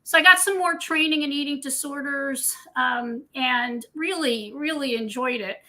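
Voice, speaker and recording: A woman's voice: sits high, measured pace, pushed and bright, low-quality recording. An older woman from Scotland, a guest dietitian, telling her career story in a candid, chatty way. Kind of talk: casual interview chat.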